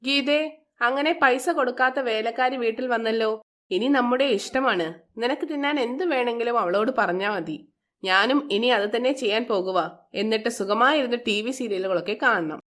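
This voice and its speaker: A woman's voice: fairly high pitch, moderate pace, a bit bright, close to an everyday voice. Woman acting a spiteful, scolding stepmother. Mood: smug. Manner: scolding, contemptuous. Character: spiteful, scheming middle-aged stepmother, sharp and contemptuous.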